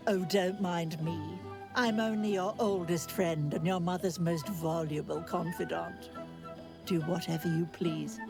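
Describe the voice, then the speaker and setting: An actress voicing Tara, a prim and fussy magical cat companion who chides in a proper tone. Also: female voice, on the low side, steady tone, measured pace, vocal fry, over music. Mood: huffy. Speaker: game character.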